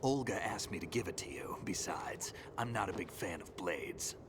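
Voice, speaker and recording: An actor playing a terse, stoic male veteran soldier in a game cutscene, speaking in a low, gravelly half-whisper. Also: animated, normal speed. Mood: steady. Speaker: game character. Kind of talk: video game cutscene voice acting. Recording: game audio with ambient noise.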